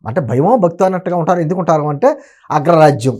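A man's voice: on the high side, expressive, fast talker, a little mellow. A man giving opinionated political commentary as an interview guest, emphatic and argumentative, often posing rhetorical questions.

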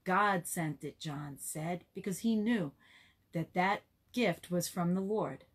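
A woman's voice: fairly low pitch, takes it slowly, breathy edge. A woman reading a story aloud to children in a conversational way, voicing the quoted dialogue and explaining things as she goes.

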